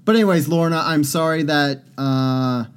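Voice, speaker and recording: A man's voice: fairly high pitch, moderate pace, clear, resonant tone. A young man co-hosting a podcast, wry and self-deprecating, with a grumbling, curmudgeonly humor. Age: young adult. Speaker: podcast co-host. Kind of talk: casual podcast banter.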